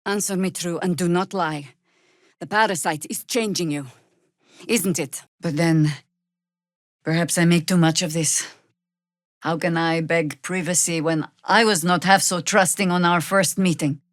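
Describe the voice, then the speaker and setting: A woman performs an older female druid warrior from a fantasy game. Her voice is on the low side and weathered, and she takes her time with every phrase. She is wry and commanding, with old-fashioned formal wording, in a very dry, close studio sound. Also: fairly even intonation, a little slow, no echo, close to an everyday voice. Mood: wry, measured. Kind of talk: video game character acting.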